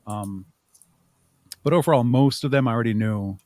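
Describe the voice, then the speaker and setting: A man's voice: medium pitch, normal speed, a little mellow, no echo, studio quality. A man speaking casually as a podcast guest, explaining his creative work in a rambling, chuckling way. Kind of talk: casual interview chat.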